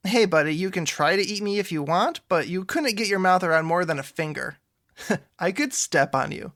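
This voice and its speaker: An actor playing a troubled, awkward, wry man with supernatural troubles in an audio drama, his voice creaky. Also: high voice, expressive, normal speed, fairly bright tone. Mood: wry, friendly.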